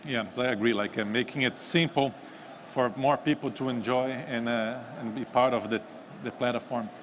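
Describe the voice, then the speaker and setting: A man's voice: medium pitch, fairly even intonation, moderate pace. A man moderating a live panel in a casual conversational style, introducing and questioning guests. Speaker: panel moderator, team member. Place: live stream stage at a busy event. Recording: noisy event floor ambience.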